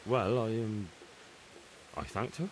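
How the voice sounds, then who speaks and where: An actor playing an earnest, dutiful young peasant recruit in a medieval video game. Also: male voice, lowish voice, slow pace, a little hiss or hum, low-quality recording. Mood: earnest, modest. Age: young adult.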